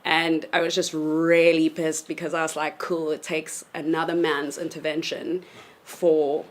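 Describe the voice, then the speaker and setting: A woman's voice: low voice, flat delivery, measured pace. A woman in her twenties from South Africa, telling a story casually, with lots of ums and hesitant restarts. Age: 20s. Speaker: university student, panel guest.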